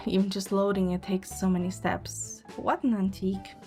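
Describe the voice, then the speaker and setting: A woman's voice: medium pitch, steady tone, moderate pace, darkish tone, faint music behind. A woman performing scripted first-person narration and lead dialogue in an audio drama.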